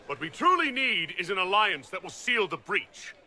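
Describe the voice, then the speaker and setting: A man's voice: high voice, very animated, moderate pace, pushed and bright, full character voice. An actor playing an earnest young male hero who asks questions in a game cutscene.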